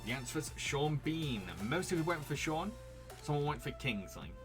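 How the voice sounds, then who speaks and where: A man's voice: a little high, animated, moderate pace, music in the background. A British man with an accent that mixes Yorkshire and a posh Received Pronunciation sound, hosting a live stream quiz in a chatty, giggly way and answering his chat. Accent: Yorkshire mixed with posh Received Pronunciation.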